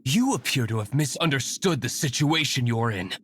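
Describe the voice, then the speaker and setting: An actor playing a stern, composed nobleman in a video game, speaking in a calm, menacing way. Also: mid-range voice, normal speed, noisy background, close to an everyday voice. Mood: cold. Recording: game audio with music behind.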